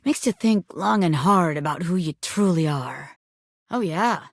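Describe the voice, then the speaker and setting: A woman's voice: on the low side, expressive, unhurried, dry recording, clean studio sound. A woman voicing a cocky, self-important bobby pin who fancies itself a crime boss in a video game, swaggering, slangy and boastful. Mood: boastful, scheming. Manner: swaggering, slangy, boastful, peppering talk with pet phrases. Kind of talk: video game character voice acting.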